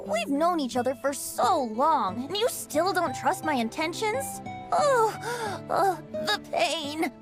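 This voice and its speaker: Game bard, young male: high-pitched, animated, playful, theatrical, with a poetic lilt. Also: slow, music in the background, full character voice. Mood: mock hurt. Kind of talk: English anime-style game voice acting.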